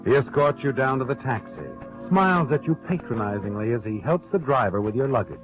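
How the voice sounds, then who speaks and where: Actor as ominous radio narrator over music. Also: male voice, mid-range voice, animated, lightly acted. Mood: knowing, ominous. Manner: dramatic, knowing, deliberate. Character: ominous omniscient narrator addressing the heroine, sardonic. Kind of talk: 1940s radio suspense narration in second person. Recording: dull vintage radio recording. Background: dramatic music.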